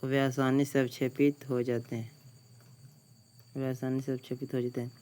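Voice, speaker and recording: A man with a Bihari Hindi accent reads practice questions in a slow, level monotone, saying each one twice before he gives the answer. His voice is mellow and smooth, and faint crickets chirp behind him. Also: medium pitch. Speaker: exam tutor. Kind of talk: reading out quiz questions and answers.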